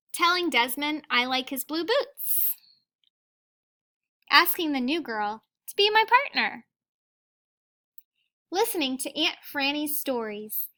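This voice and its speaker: Woman, high-pitched voice, unhurried pace, children's read-aloud, expressive teacher delivery. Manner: expressive, deliberate storytelling, addressing young children. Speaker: teacher reading a picture book aloud to children. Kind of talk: children's story read-aloud with asides to listeners.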